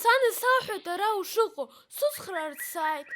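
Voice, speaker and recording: A woman voicing an eager, childlike little boy creature in an animated fairy tale, with an Abkhaz accent and plenty of exclamations. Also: very high-pitched, unhurried, a little hiss or hum, heavily acted. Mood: eager, friendly.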